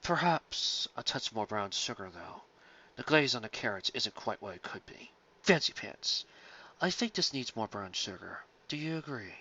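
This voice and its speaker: A man voicing a scholarly, fussy pony food critic with a grave, measured manner, on a dull lo-fi recording. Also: medium pitch, sing-song, bright, forceful tone, full character voice. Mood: grave, critical.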